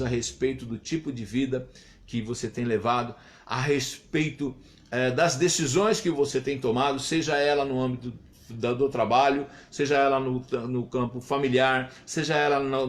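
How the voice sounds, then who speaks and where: A man in his fifties, a pastor giving a morning devotional sermon in an earnest, reflective way, lifting his voice on exhortations. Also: mid-range voice. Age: 50s.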